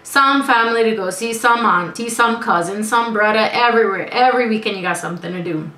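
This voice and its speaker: A woman's voice: fairly high pitch, fairly quick, distant mic. Chatty young woman in an echoey room. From Suriname. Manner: lively, chatty, laughs often. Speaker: vlogger. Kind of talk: casual chat to camera.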